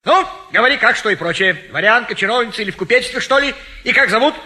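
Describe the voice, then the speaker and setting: An actor playing a pushy, meddling friend turned matchmaker in a classic stage comedy, forceful and cajoling with sudden exclamations. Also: male voice, very high voice, animated, fast, full character voice. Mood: eager, nosy. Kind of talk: classic stage comedy audio play acting.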